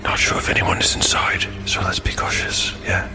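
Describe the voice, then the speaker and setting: A man's voice: normal speed, in a whisper, over music, natural voice. A man playing a pragmatic, brash male thief in an audio drama, talking bluntly and under his breath. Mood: whispered caution. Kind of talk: audio drama character dialogue.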